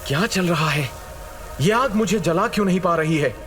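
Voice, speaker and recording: A man's voice: on the high side, animated, moderate pace, a bit bright, faint music behind. A man playing a trapped heroic young man in an audio drama, speaking his inner thoughts in a formal, dramatic way. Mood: bewildered wonder. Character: trapped heroic young man, despairing yet determined, bewildered. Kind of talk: Hindi audio drama character monologue.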